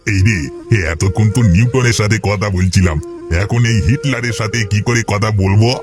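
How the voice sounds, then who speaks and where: Cartoon labourer man acting: low voice, plain-spoken, humble, wry, music underneath. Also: fairly even intonation, normal speed, lightly acted. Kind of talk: Bengali cartoon dialogue.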